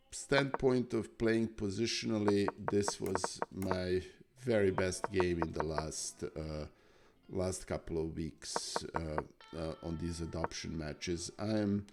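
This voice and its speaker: Slow raspy-voiced man streaming chess. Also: low-pitched. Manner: halting, many fillers and restarts. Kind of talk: casual live-stream commentary.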